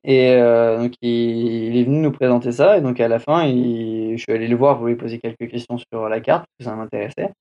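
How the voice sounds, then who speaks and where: A man's voice: medium pitch, fairly even intonation, fairly quick, hardly any pauses, lo-fi sound. A man from France chatting casually as a podcast guest, rambling with many restarts and fillers. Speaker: guest reporting on a trip.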